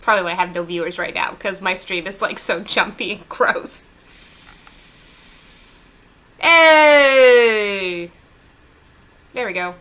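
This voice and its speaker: A woman's voice: medium pitch, takes it slowly, pauses often, pushed and bright. A woman streaming a video game, chatting casually and rambling with lots of fillers. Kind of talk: casual let's-play chat.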